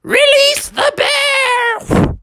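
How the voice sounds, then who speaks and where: A woman's voice: very high voice, steady tone, extremely slow, bright, forceful tone, dry recording, studio quality, voice pushed up, exaggerated voice. A woman voicing a wild, rowdy male owl for a children's story, cocky and playful, whooping and hollering. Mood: gleeful.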